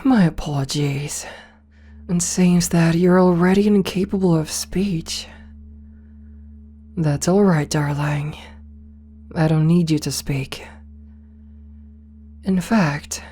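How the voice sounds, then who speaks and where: A woman playing a possessive, domineering rich CEO in an ASMR roleplay, speaking softly and coaxingly with condescending sweetness, slipping into whispers. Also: low voice, full character voice. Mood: sweetly controlling. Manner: slow, soft, breathy coaxing, slipping into whispers.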